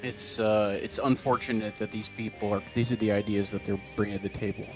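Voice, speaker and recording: A man co-hosting a comedy show, giving deadpan, mock-serious commentary in a preachy, lecturing way. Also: on the low side, a little fast, soft background music. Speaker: co-host.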